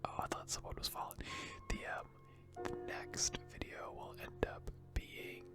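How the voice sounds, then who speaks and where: Whispering ASMR gamer over music. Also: normal speed. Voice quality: breathy, unvoiced whisper. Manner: rambling, hesitant. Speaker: gaming video host. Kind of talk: whispered ASMR gaming commentary.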